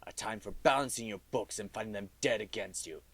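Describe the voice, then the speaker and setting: A man's voice: fairly low pitch, a little fast, gravelly. An actor playing a mean, miserly old businessman who is curt, grumbling and scornful, in radio drama style.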